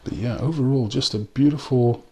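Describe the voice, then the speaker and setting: A man from South Africa with a South African accent, reviewing a rum in a relaxed way with frequent pauses and ums. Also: mid-range voice. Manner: unhurried, with frequent ums and restarts. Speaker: spirits reviewer. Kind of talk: casual spoken tasting review.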